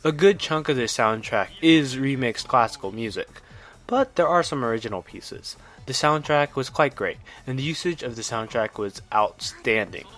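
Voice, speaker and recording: A man's voice: medium pitch, lively intonation, moderate pace, a bit bright. A man narrating a scripted video game review in an enthusiastic, opinionated way. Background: background music.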